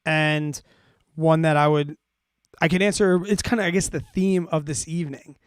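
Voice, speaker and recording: A man's voice: fairly high pitch, steady tone, moderate pace, clear, resonant tone, no room sound, clean studio sound. A man hosting a finance livestream, explaining things casually and conversationally while checking in with his listeners. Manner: conversational, repeats check-ins like "right?" and "okay?". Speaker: host of a finance livestream answering listener questions. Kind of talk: casual explanatory talk to a live audience.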